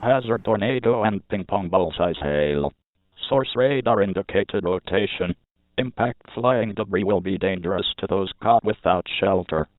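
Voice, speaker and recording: A man's voice: sits low, moderate pace, no echo. A mechanical-sounding man reading a scripted emergency weather warning in a formal, clipped way, as an alert announcer. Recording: muffled phone-like radio broadcast with noise.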